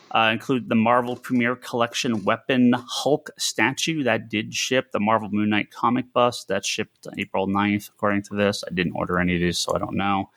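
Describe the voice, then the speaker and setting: A man co-hosting a casual podcast on industry news, talking in a halting, thinking-aloud way with emphatic repetition. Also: medium pitch, a bit bright. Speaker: podcast co-host who runs a comics store.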